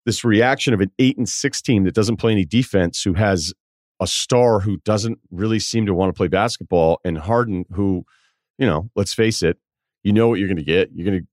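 A man's voice: lowish voice, dry recording, clean studio sound. A man hosting a sports podcast, giving informal, wry, conversational commentary.

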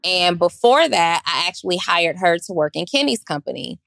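A woman with a squeaky, raspy voice, a business owner chatting casually on a panel and acting out voices as she talks. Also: moderate pace, no room sound. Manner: animated, acts out voices in quotes.

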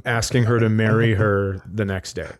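A man's voice: fairly low pitch, level tone, moderate pace. A man co-hosting a comedy podcast, chatting casually in a dry, hesitant way.

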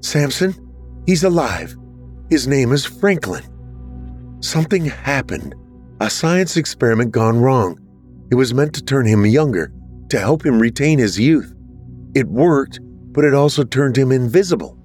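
A man voicing the ghost of an upset, excitable dead woman in a story. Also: mid-range voice, measured pace, long pauses, faint music behind, pitched above the performer's own voice, lightly acted. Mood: amazed, urgent.

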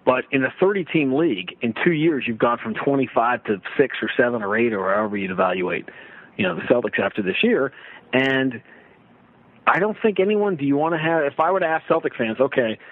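A man talking sports casually as a broadcaster guest, telling stories and throwing out rhetorical questions in an animated way. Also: mid-range voice, a little fast. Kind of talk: casual sports radio discussion. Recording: roomy, thin phone-like radio line.

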